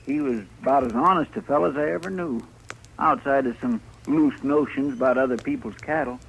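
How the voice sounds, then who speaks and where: An actor playing an earnest, deferential marshal's sidekick with a homespun, rambling manner, 1950s radio style. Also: male voice, medium pitch, fairly quick, creaky, lightly acted. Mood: folksy. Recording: faintly noisy old radio recording.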